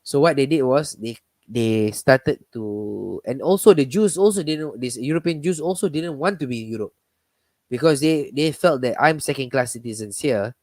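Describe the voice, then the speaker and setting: A man leads a casual live talk, circling back and restarting his sentences as he goes. His voice sits in a middle pitch. It is recorded dry and close, with no room sound. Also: moderate pace, darkish tone, no room sound. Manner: rambling, many restarts and fillers. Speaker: host.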